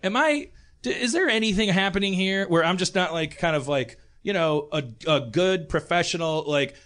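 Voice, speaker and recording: A man with a high, sharp-edged voice hosts a comedic talk show. He rambles and stammers, circling back over the same words as he builds a bit. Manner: halting, stammering, repeats words. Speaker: podcast host.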